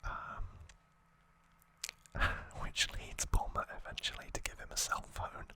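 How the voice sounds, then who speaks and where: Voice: unhurried, sharp tone. An ASMR host whispering an unscripted story recap in a hesitant, slightly wry way.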